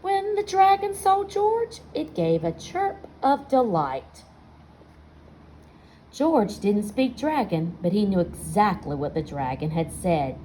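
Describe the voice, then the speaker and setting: Unhurried woman reading a children's storybook aloud. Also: medium pitch, slight background noise, low-quality recording. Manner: expressive storytelling, pausing to ask listeners questions. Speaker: storybook reader. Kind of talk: children's picture book read-aloud with asides to young listeners.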